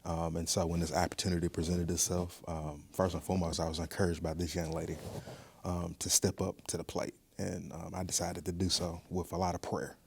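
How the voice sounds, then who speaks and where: A man giving measured, earnest answers as an interview guest. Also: low-pitched, little pitch movement, vocal fry, a little mellow, breathy edge. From Mississippi, United States. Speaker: museum director, interview guest.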